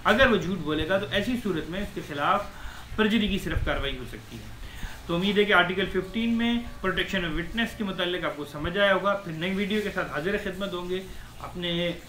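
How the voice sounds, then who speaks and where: Male law lecturer, high-pitched, didactic and repetitive, recorded in an echoey room. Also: brisk, bright, forceful tone. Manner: didactic, repeats points, mixes in English legal terms. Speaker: advocate giving law lessons. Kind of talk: explanatory law lecture in plain terms. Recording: echoey room with faint noise.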